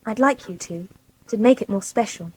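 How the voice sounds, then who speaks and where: An actress playing an affectionate, playful young granddaughter, speaking softly in a radio drama. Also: female voice, mid-range voice, normal speed, husky, close to an everyday voice, low-quality recording. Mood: affectionate, pleased. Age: young adult. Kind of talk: naturalistic radio drama acting. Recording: 1980s radio drama broadcast.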